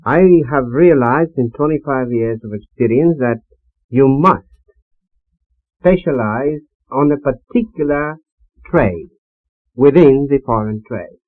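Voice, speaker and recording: Middle-aged male expert, medium pitch, deliberate and formal, muffled 78 rpm disc sound. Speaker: foreign trade expert with long experience. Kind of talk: panel interview answers.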